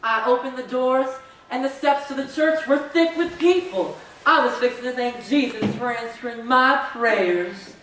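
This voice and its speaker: A woman's voice: high-pitched, unhurried, a bit bright. A woman playing a wide-eyed, churchgoing townsperson telling a story on stage, recorded from far off in an echoey space. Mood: eager, wondering. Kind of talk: theatrical storytelling monologue, readers theater. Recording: echoey stage, distant, faint noise.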